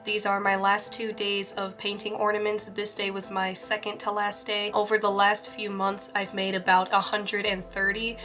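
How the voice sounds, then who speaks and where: A woman with a raspy voice, still recovering from laryngitis, narrating a casual vlog as its host. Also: medium pitch, completely flat delivery, normal speed, few pauses, bright, forceful tone. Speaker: vlog host, small art business owner. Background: background music.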